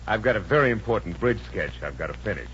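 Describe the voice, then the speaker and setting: A man's voice: on the low side, lively intonation, fast talker, fairly bright tone. An actor playing a flustered man struggling to work in a 1950s radio comedy, muttering and bursting into exasperation. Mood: harried. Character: harried, exasperated bridge designer trying to work. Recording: noisy, muffled old radio recording.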